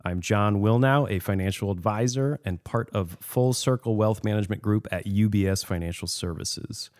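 A younger man hosts a podcast interview. He opens with a clear, scripted welcome. Then he moves on to relaxed, friendly questions. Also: fairly low pitch, normal speed. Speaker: podcast host.

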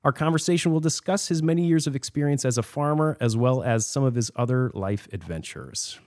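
Young guy hosting a podcast, clear scripted intro, friendly questions. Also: medium pitch, fairly quick, darkish tone. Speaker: podcast host. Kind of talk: podcast interview.

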